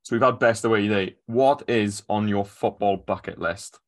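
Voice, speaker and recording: A man hosting a podcast, animated and quick to laugh and apologise. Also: fairly low pitch. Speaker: podcast host. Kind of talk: casual chat.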